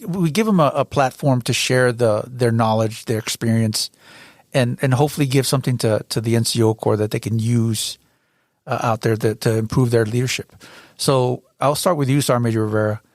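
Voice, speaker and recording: A man hosting a podcast, opening with a scripted introduction and then asking questions in a measured way, with a few restarts. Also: mid-range voice, dry recording, clean studio sound. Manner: measured, with some restarts when unscripted. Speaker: podcast host, managing editor.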